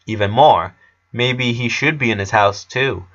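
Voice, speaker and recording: Bright, forceful male story narrator. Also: medium pitch, unhurried, highs cut off.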